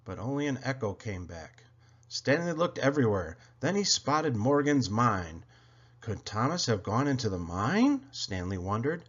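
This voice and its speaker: A man reading a children's story aloud in an expressive, dramatic, deliberate way. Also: mid-range voice, slightly rough, muffled recording. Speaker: storybook reader.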